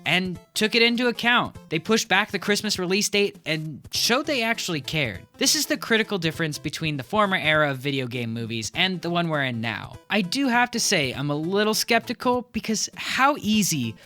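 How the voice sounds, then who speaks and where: Lively, bright-voiced young man. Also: high voice, normal speed, hardly any pauses, faint music behind. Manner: wry, casual, with fillers. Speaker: host. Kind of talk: scripted video essay commentary.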